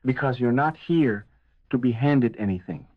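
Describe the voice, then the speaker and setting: A man's voice: mid-range voice, animated, moderate pace. A man with a mid-range voice narrates a scripted motivational speech. He is intense and emphatic, landing short, punchy phrases one after another. The sound is narrow and lo-fi, as if through a phone. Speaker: motivational speaker.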